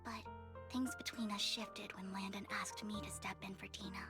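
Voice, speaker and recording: An actress playing a gentle, sorrowful young woman who speaks softly and politely in a video game. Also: medium pitch, steady tone, moderate pace, over music, natural voice. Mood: sorrowful, earnest. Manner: soft, earnest, formal address.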